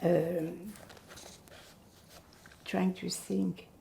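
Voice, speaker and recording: Gravelly older woman, halting speech. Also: lowish voice, little pitch movement, mellow. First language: Yiddish. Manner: halting, searching for words. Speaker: Holocaust survivor giving testimony. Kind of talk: oral history interview.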